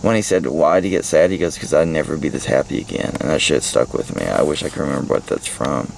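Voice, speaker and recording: An American man with a low voice and a gravelly fry rambles casually through a book review. He is crude and irreverent, swearing freely and jumping between his own remarks and bits read aloud. There is faint noise behind him in the car. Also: fast talker. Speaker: vlogger giving a book report. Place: inside a parked car. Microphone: handheld phone or camera at arm's length. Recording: handheld recording with faint background noise.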